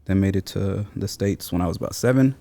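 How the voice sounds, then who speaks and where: Casting: thirties male interview guest, very low and soft-toned, reflective, informal phrasing. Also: fast, creaky. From Seattle, born in Somalia. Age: 30s. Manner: thoughtful, informal slangy phrasing. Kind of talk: reflective casual interview talk.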